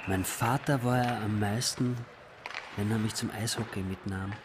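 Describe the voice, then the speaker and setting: A man's voice: fairly low pitch, steady tone, noisy background, lightly acted. An actor playing a melancholy taxi driver of about forty, recounting his life reflectively in a radio drama monologue. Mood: wistful reminiscence. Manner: measured, reflective delivery.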